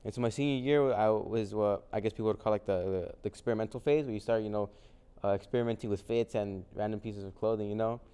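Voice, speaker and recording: A young man from Mexico whose first language is Spanish, speaking in a low, smooth, mellow voice. He answers easygoing questions in loose, casual talk, dotted with fillers. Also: quick pace. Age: young adult. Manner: relaxed, many fillers like "um" and "like". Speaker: guest, vintage clothing reseller. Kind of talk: casual interview conversation.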